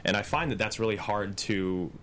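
A man's voice: lowish voice, moderate pace, a bit bright, slight background noise. An American man on a discussion panel, speaking casually in an earnest, appreciative manner. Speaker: table-read panelist.

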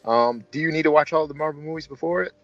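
A man's voice: a little high, quick pace, a bit bright. A man hosting a casual film review chat, talking in an informal, joking way. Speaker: review show host. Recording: slightly dull, roomy sound with faint music.

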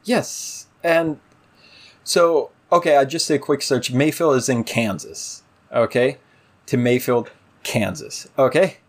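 A man with a medium-pitched voice talks casually as a review show co-host. He is opinionated and emphatic, ranting in exasperation and throwing out rhetorical questions. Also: slow pace. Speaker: podcast co-host reviewing a TV series. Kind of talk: casual opinionated episode discussion.

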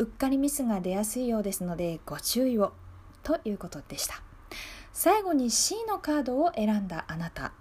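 A woman's voice: a little high, dark tone, breathy edge. A woman presenting a radio programme as its host, speaking politely and conversationally and moving between scripted segments and her own remarks.

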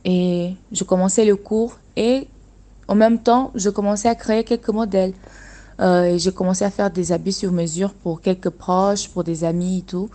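A young woman from Angola, a native Portuguese speaker, talking earnestly about her work in a radio interview and often pausing to find her words. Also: mid-range voice, steady tone, dark tone. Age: young adult. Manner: earnest, searching for words with restarts. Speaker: guest, dressmaker running her own workshop.

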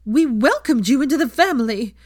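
A woman's voice: high voice, lively intonation, brisk, darkish tone, dry recording, studio quality, pitched above the performer's own voice. A woman voicing a furious, indignant middle-aged mother who scolds and accuses with heavy emphasis. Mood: outraged.

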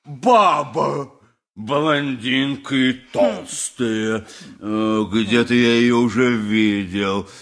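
An actor playing a gruff, evasive sea captain who dreads marriage, in a theatrical, sardonic radio play style with a husky voice. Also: male voice, mid-range voice, steady tone, extremely slow, fairly bright tone. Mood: blustering, startled. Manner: theatrical, blustering, sardonic asides. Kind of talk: Soviet radio play acting.

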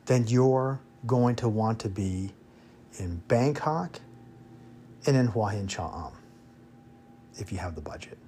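A man's voice: lowish voice, animated, takes it slowly, long pauses, dark tone, slight background noise. An American man hosting an informal podcast monologue, giving opinionated advice in a blunt way and repeating points for emphasis. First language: English. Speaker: expat podcast host.

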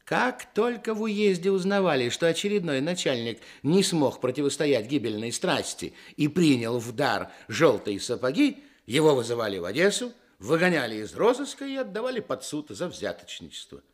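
Lively, wry male storyteller. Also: on the high side. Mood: wry, ironic. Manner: measured, ironic. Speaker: narrator. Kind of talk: expressive scripted radio play narration.

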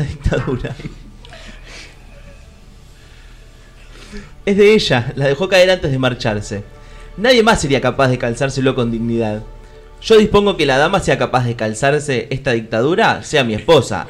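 An actor with an Argentine accent playing a crude, lovestruck fairy-tale prince in a comic radio play, mock-courtly and slipping into street slang. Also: male voice, mid-range voice, sing-song, moderate pace, faint music behind. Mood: commanding. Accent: Argentine, Rioplatense. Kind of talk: comic radio theatre parody.

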